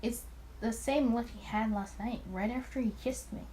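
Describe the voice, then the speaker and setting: Girl reading as nervous lovestruck detective guy, visual novel narration, highish voice, bit noisy. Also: moderate pace, mellow, close to an everyday voice. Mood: hesitant, tender. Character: young male detective narrator, anxious, smitten, self-doubting. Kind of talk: first-person visual novel read-aloud.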